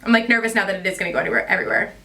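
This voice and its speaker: A woman's voice: mid-range voice, fairly even intonation, very fast, gravelly, sharp tone. A young woman in her twenties chatting through a makeup review vlog at home, with a slightly stuffy nose and lots of "like" and "you guys". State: stuffy nose from a sinus infection. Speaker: beauty vlogger.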